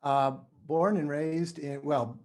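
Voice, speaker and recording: A middle-aged man from New Mexico being interviewed, talking casually and haltingly, often correcting himself. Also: on the high side, fairly even intonation, a little mellow. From New Mexico, United States. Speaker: podcast guest, writer.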